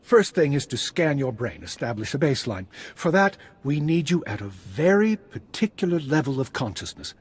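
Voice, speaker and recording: Husky, animated Jedi mentor. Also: male voice, mid-range voice, moderate pace, hardly any pauses. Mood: instructive, enthusiastic. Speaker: Jedi master guiding a student. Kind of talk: scripted video game dialogue.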